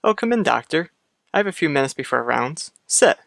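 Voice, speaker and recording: An actor playing a brisk, guarded hospital doctor in a radio soap, with clipped, direct delivery. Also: male voice, a little high, sing-song, vocal fry, a bit bright, dry recording, exaggerated voice. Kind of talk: old radio soap opera acting.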